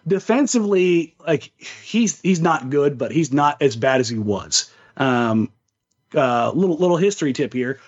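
A man's voice: a little high, lively intonation, unhurried. A man co-hosting a sports podcast, chatting casually about basketball with slangy, emphatic phrasing. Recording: slightly dull, lo-fi voice recording.

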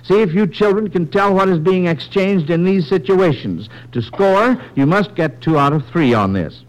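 High-pitched, animated American radio quizmaster, a man. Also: normal speed, rough recording. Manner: addresses child contestants by name, folksy asides. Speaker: quiz show host. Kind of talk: 1940s radio quiz hosting. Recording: vintage 1940s radio broadcast.

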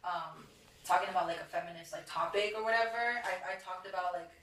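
A young woman whose first language is Spanish chats on a podcast, hesitant and full of fillers. Her voice sits a little low. She sounds roomy and set back from the microphone. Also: steady tone, fairly quick, fairly bright tone, far from the mic. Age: young adult. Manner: rambling, many "like" fillers, self-doubting. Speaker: podcast guest. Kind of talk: casual podcast chat.

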